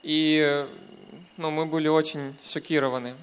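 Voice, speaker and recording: A young man from western Ukraine with a Ukrainian accent giving a personal testimony in church, in short hesitant segments with pauses. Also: fairly high pitch, level tone, smooth. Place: church, speaking to a congregation.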